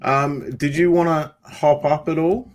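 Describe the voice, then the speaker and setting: A man's voice: on the high side, fairly even intonation, unhurried. Easygoing man hosting a live chat. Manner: easygoing, matey. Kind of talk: casual livestream chat.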